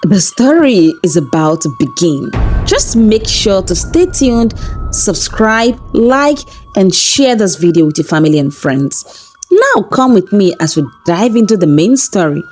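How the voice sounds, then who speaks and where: Expressive woman storyteller, music behind. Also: medium pitch, a little slow. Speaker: storyteller, channel host. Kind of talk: scripted folktale narration. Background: background music.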